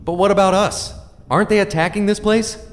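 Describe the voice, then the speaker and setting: A man's voice: sits high, fairly even intonation, a little fast, slight background noise, close to an everyday voice. A man playing an irritable, protective middle-aged father who talks bluntly and impatiently in an audio drama. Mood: alarmed.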